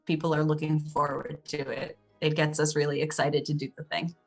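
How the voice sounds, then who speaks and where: A woman with a very low voice chats as a co-host, playful and casual. Faint music plays behind her. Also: little pitch movement, a little fast, slightly rough. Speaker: co-host and player. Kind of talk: casual chat.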